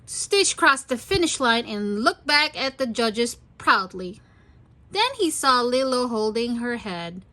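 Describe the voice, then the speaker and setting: A woman's voice: a little high, sing-song, slow pace, pushed and bright. A woman reading a story aloud expressively for young children. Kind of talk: children's read-aloud narration.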